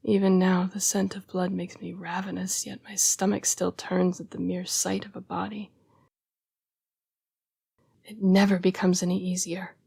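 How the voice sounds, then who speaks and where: A woman playing a remorseful, gentle young vampire in an intimate roleplay audio, speaking in a breathy, halting hush. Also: fairly low pitch, level tone, exaggerated voice. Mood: haunted, sorrowful. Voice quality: breathy, whispery. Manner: hushed, halting, earnest and apologetic. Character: remorseful, gentle young female vampire, protective, fighting bloodlust. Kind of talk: roleplay audio acting, intimate dramatic monologue.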